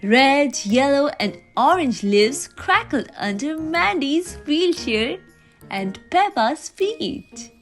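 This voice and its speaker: Woman, children's read-aloud narrator, very lively intonation, unhurried pace, expressive, soft music underneath. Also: high voice. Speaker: children's storybook reader.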